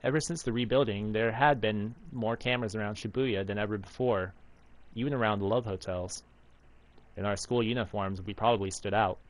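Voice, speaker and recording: Low-voiced man reading a visual novel. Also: fairly even intonation, fairly quick, low-quality recording. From United States, Alaska. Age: 30s. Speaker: streamer reading a game's text aloud. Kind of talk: narrating and voicing visual novel dialogue. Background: faint game music.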